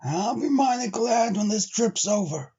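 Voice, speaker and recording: A stolid, doubting trail hand played by a male storyteller, pitched well up from a normal male register. He drawls in rustic, old-time speech and takes his time over every phrase, like a storybook frontiersman. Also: lively intonation, a little slow, dark tone, breathy edge, lo-fi sound, full character voice. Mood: weary, commiserating. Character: slow, stolid, sceptical sled-dog driver, an adult man. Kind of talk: storybook character voice.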